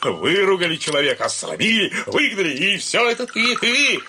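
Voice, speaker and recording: A man's voice: high-pitched, sing-song, moderate pace, bright, forceful tone, a little hiss or hum, exaggerated voice. An actor playing an exasperated, blustering old landowner father who splutters and grumbles in a theatrical radio comedy. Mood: exasperated, despairing. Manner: spluttering, repeats pet filler phrases, grumbling.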